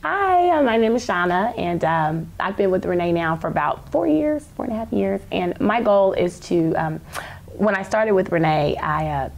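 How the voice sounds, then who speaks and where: A woman's voice: on the low side, expressive, normal speed, creaky, slight background noise. A woman in her thirties introducing herself as a participant, speaking hesitantly with many fillers. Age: 30s. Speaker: challenge participant.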